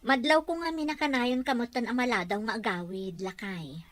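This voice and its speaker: A woman's voice: on the high side, moderate pace, a bit bright, no echo, natural voice. A woman playing a patient, troubled young wife. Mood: suspicious. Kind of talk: Ilocano audio drama acting.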